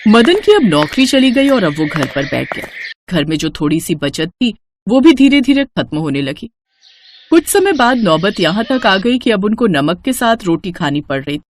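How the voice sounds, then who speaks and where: A woman's voice: medium pitch, sing-song, normal speed, a little hiss or hum. A woman reading a scripted moral story as a storyteller. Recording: music under the voice. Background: background music.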